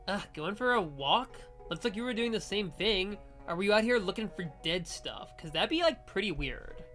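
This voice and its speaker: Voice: mid-range voice, lively intonation, normal speed, a bit bright, soft background music, close to an everyday voice. A game player voicing a breezy, blunt young girl with a casual, teasing way of talking. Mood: annoyed. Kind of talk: visual novel character reading.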